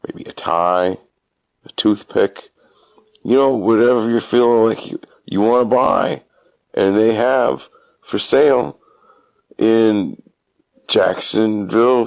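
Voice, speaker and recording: A man giving a mock-announcer podcast intro and sponsor plug in a halting, theatrical, absurdly comic manner. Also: deep voice, gravelly. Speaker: guest caller giving a show intro.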